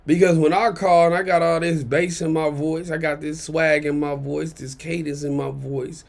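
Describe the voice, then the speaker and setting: A man with a raspy baritone ranting in casual commentary, blunt and profane, driving points home with repeated "okay?". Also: little pitch movement, normal speed. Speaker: commentary channel host.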